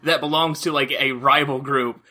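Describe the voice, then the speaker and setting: A man's voice: mid-range voice, steady tone, moderate pace, bright, forceful tone. A man chatting casually as a podcast host, rambling along with "like" dropped into nearly every sentence. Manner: rambling, heavy use of "like". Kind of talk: casual chat about video games.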